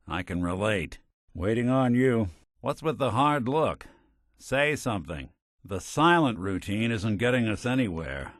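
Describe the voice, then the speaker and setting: A man's voice: medium pitch, a bit bright, no room sound, clean studio sound. A man voicing a weary, wry old-school detective robot with an American accent and hard-boiled noir phrasing, in a video game.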